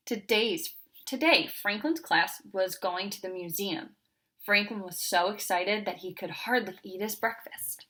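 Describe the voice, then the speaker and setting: Bright-voiced woman reading a children's story. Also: mid-range voice, unhurried. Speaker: read-aloud storyteller. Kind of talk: children's picture book narration.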